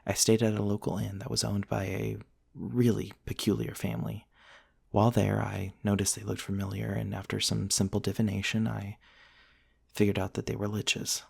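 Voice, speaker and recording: Man playing a deep-voiced, creaky vampire prince. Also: level tone, exaggerated voice. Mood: calm storytelling. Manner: soft-spoken, wistful, genteel storytelling with pauses. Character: eccentric, gentle vampire prince and artist from the 1800s. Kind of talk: intimate ASMR roleplay monologue.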